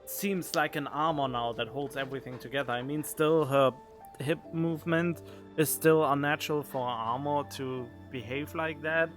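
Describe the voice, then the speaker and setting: A man's voice: a little high, fairly even intonation, moderate pace, a bit bright, faint music behind. A man giving casual, rambling game commentary as a streamer, informal and sweary.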